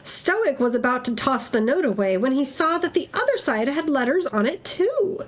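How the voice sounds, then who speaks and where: A woman's voice: high voice, big pitch swings, normal speed. A woman reading a story aloud on a casual stream, breaking off to banter with the others. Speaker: reader-narrator on a fanfiction reading stream.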